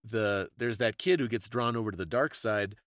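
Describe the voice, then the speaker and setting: Explanatory man with a lowish, close, dry voice. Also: normal speed, fairly bright tone, no echo. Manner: explanatory, thoughtful. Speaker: podcast co-host. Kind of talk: casual discussion of folklore and fiction.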